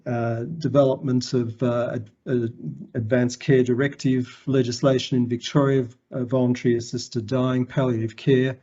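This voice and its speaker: An Australian man giving a formal conference presentation in a measured, halting way. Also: mid-range voice, dark tone, highs cut off. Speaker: conference presenter, health organisation chief executive.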